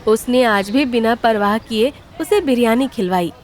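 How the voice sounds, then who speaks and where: A woman's voice: on the high side, unhurried, slight background noise. A woman giving a scripted narration of a children's moral story.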